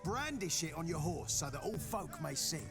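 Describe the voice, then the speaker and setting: An actor playing a boisterous rustic villager who runs a festival game, talking in a folksy dialect. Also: male voice, a little high, very animated, normal speed. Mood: hearty. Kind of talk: video game cutscene voice acting. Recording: game soundtrack music behind.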